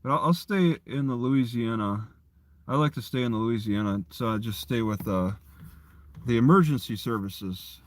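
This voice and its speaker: A man chatting casually on a livestream, rambling and often restarting his phrases. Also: medium pitch. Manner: halting, repeats and restarts phrases. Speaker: live streamer.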